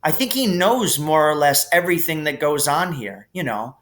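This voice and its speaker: A man's voice: a little high, lively intonation, moderate pace, a bit bright. A man voicing an earnest, curious schoolboy hero in a thoughtful way.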